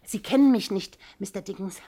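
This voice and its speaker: A woman's voice: medium pitch, a little fast, mellow, lightly acted. Actress doing a fawning greedy landlady. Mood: wheedling. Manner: fawning, protests too much, coy hesitations. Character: greedy wheedling older landlady, falsely modest. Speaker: boarding house landlady. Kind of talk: 1960s radio drama acting.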